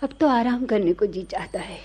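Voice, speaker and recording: An actress playing a refined young princess in a radio play, tender and devoted, with a languid courtly manner. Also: female voice, medium pitch, lively intonation, normal speed, warm tone, breathy edge. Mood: tender, concerned. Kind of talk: Hindi-Urdu radio play acting.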